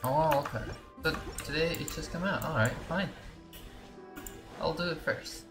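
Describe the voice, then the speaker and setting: Male gamer for casual play commentary, unhurried, muttering choices in fragments over clear game music. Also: a little high. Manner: thinking aloud in short fragments. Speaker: gaming streamer.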